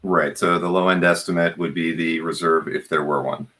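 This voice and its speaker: A man hosting a casual live interview, agreeable and a little halting as he talks. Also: deep voice, steady tone, pushed and bright. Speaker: interview host. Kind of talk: casual live-stream chat.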